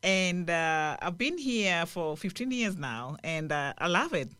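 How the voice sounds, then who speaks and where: A woman from Kenya talks slowly and hesitantly, with lots of fillers, as a guest on a radio show. Her voice is lowish and the sound is dry and close. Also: sing-song, a bit bright, no room sound. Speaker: guest singer. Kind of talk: casual radio interview.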